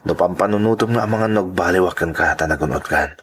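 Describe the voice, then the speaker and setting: A man plays an earnest suitor in a radio drama, gentle, persistent and deeply smitten. He has an Ilocano accent and a fairly low, husky voice. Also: fairly quick. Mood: earnest, tender.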